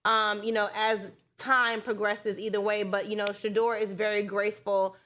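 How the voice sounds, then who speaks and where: A woman's voice: medium pitch, flat delivery, moderate pace, fairly bright tone. A woman hosting a talk show, giving conversational news commentary in a sassy, emphatic, colloquial way.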